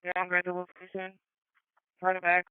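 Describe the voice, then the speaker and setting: A woman's voice: on the low side, completely flat delivery, normal speed, smooth, dry recording. A woman working as an emergency dispatcher, giving clipped, procedural announcements over a two-way radio. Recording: phone-like police scanner radio audio.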